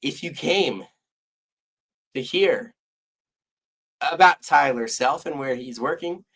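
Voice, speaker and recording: A hoarse young man co-hosting a casual sports podcast, losing his voice and restarting his sentences as he rambles on. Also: on the high side, lively intonation, slow pace, fairly bright tone. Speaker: podcast co-host.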